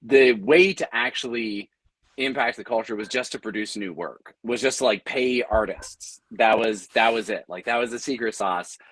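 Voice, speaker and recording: A man with a mid-pitched, bright, forward voice and very little room sound. He talks casually as a panelist, wryly self-deprecating, and repeats phrases for emphasis. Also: no echo, low-quality recording. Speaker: panelist, audio drama producer and company founder. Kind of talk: casual, anecdotal panel talk.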